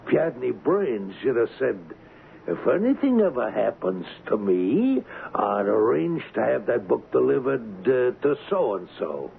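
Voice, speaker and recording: A man playing a cocky, wisecracking gangster in an old-time radio crime drama, slangy and taunting, with rough grammar. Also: medium pitch, sing-song, measured pace, phone-quality sound, heavily acted. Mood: cocky, menacing. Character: cocky, wisecracking street-tough gangster hired as an agent. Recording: thin, band-limited, hissy old radio recording.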